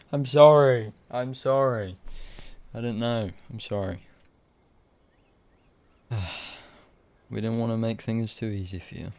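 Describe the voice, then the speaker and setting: A man's voice: mid-range voice, steady tone, takes it slowly, long pauses, darkish tone. A man game streamer giving casual, colloquial, sweary reaction commentary.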